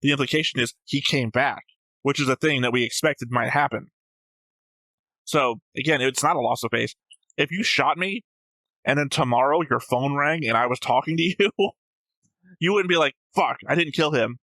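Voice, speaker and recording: A man's voice: medium pitch, moderate pace, pushed and bright, no room sound. A man co-hosting a reaction show, arguing through story theories in an analytical, casual way with some swearing. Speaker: host of an anime reaction show. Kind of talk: casual debate about a show.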